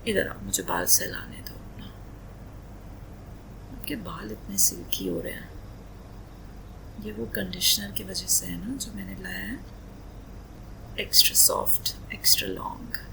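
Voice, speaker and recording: A woman playing a loving, playful wife in a romantic roleplay ASMR, speaking softly and breathily in an intimate, coaxing manner, often slipping into whispers. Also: lowish voice, huge pitch swings, a little slow, gravelly, pauses often, heavily acted, poor audio. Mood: playful, affectionate. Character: loving, emotional, playful young wife doting on husband.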